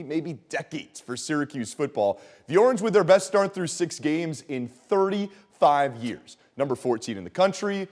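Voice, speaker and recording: A man reading a scripted sports highlight package as a reporter, with punchy, playful storytelling delivery. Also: darkish tone.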